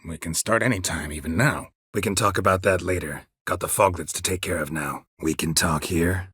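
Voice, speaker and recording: Here is a world-weary, stoic monster hunter from a fantasy video game. The voice is very deep and heavily rasped, recorded close and dry. Lines come out terse and deadpan, with a sardonic edge. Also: male voice, brisk, no echo, heavily acted. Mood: deadpan, businesslike. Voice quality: low, gravelly rasp. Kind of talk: video game character dialogue.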